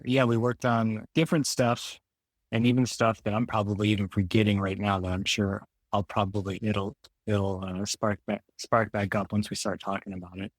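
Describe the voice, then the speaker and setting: A man's voice: on the low side, level tone, normal speed, no room sound. An American man in his forties from the Detroit area, talking casually and reflectively as an interview guest, rephrasing as he goes. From Detroit area, Michigan, United States. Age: 40s. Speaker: podcast guest.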